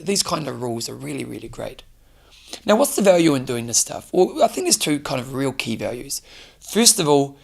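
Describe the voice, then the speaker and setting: Gravelly, hoarse New man hosting a fitness talk, earnest and direct with the listener. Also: mid-range voice, fairly bright tone. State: hoarse, losing his voice. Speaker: fitness show host. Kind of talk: solo motivational talk to camera.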